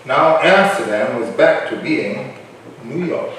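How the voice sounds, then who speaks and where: A man's voice: mid-range voice, expressive, slow pace, a bit bright. A man playing a wry storytelling narrator of colonial history in a staged reading. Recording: very echoey room, distant, faint noise.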